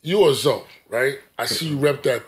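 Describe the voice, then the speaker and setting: A man hosting a podcast in a small studio, interviewing calmly on a studio mic. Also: medium pitch, moderate pace. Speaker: podcast host. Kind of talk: casual interview. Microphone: studio mic on boom.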